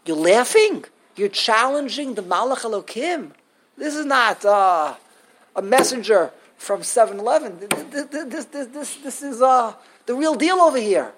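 A woman teaching a Torah class to a small group, lively and animated, switching often into Hebrew terms. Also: a little high, normal speed, slight background noise. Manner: animated, acts out quotes, mixes in Hebrew terms.

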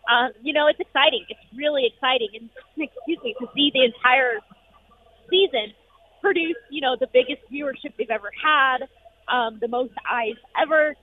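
A woman sports reporter calling in from an arena, upbeat and full of fillers, her voice going hoarse. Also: sits high. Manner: upbeat, rapid, many fillers. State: losing her voice. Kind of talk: phone-in sports radio chat. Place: noisy arena. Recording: tinny phone line.

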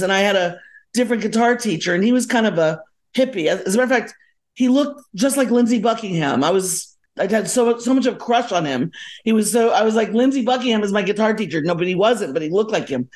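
A middle-aged or older woman from South Florida talks as a podcast guest in a medium-pitched voice. She is chatty and casual, wandering into asides and correcting herself as she recalls her life. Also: darkish tone. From South Florida, United States. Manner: chatty, digressive, self-correcting. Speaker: podcast guest, musician and educator. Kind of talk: casual reminiscing interview chat.

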